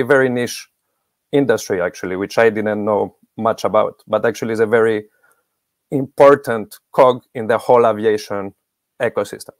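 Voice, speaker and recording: A man's voice: fairly low pitch, no room sound. A middle-aged man giving explanatory interview answers as a guest expert on a video call. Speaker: guest expert, academic researcher.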